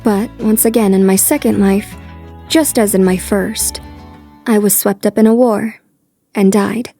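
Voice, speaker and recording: A woman voicing a composed young noblewoman who recounts her past lives in an anime fan dub, with measured storytelling. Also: mid-range voice, vocal fry, mellow, faint music behind. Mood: reflective.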